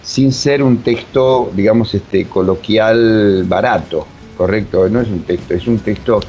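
A man's voice: a little slow, mellow, soft background music. A man with a Rioplatense Argentine accent talks casually as an interviewed director, rambling emphatically and searching for words. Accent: Rioplatense Argentine Spanish. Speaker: radio drama director being interviewed. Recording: muffled, low-fi with faint noise.

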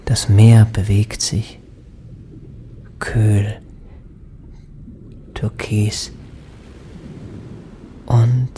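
An actor playing a dreamy lost soul in a poetic radio drama, speaking in halting, half-whispered phrases with long pauses. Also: male voice, fairly low pitch, dark tone, slight background noise. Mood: wistful, entranced. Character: lost soul, a dreamy male spirit seeking redemption. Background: music.

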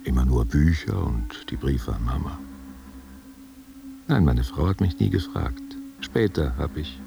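An actor playing an old war veteran in a radio play, giving halting, evasive confessions in a gravelly, husky voice. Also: male voice, extremely low pitch, expressive, noisy background, full character voice. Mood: defensive, remorseful. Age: older. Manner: halting, defensive answers to unheard questions. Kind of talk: literary radio play monologue.

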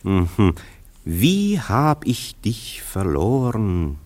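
Low male voice, unhurried, curious and dryly ironic friend, theatrical radio play delivery. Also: wildly swooping pitch, exaggerated voice. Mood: curious, teasing. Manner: theatrical, prompting, gently ironic. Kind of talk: German radio play acting.

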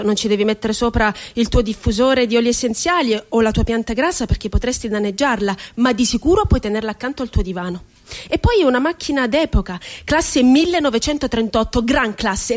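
A woman's voice: on the high side, close to an everyday voice. An actress playing a wry, childlike, wounded woman poet confined in an asylum, in a lyrical theatrical monologue. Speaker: stage actress. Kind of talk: theatrical monologue for radio drama.

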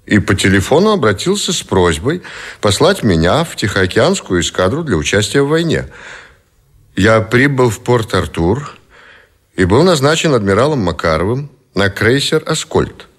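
An actor playing a captive middle-aged admiral who gives formal, measured, dignified testimony in a radio play. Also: male voice, on the low side, sing-song. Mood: formal, composed.

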